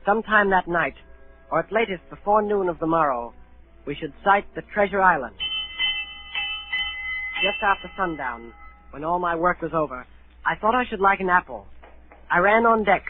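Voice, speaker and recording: Earnest, higher-voiced adventure narrator. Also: male voice, lively intonation, normal speed, long pauses, faint music behind. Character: earnest storyteller recalling his boyhood sea adventure. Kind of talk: dramatic first-person radio narration, 1930s. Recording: narrow-band 1930s radio broadcast.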